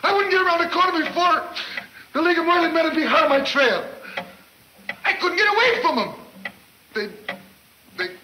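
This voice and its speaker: An American actor playing a frightened, slangy gangster in a 1940s film serial, snivelling and stammering. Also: male voice, extremely high pitch, animated, a little fast, long pauses, background noise. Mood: panicked defiance. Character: frightened, slangy male gangster under arrest. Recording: echoey old film soundtrack with music.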